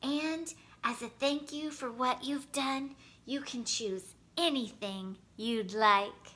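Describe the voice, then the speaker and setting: Woman as storybook shopkeeper, a grateful, generous gentleman, bright and unhurried. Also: high-pitched. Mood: generous, proud. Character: grateful, generous toy shop owner, grown man. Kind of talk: children's storybook character voice.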